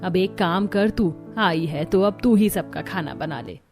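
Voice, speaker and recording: A woman's voice: lowish voice, a little slow, darkish tone, faint music behind. A woman playing an older, plaintive mother-in-law in a Hindi cartoon family story. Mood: irritated.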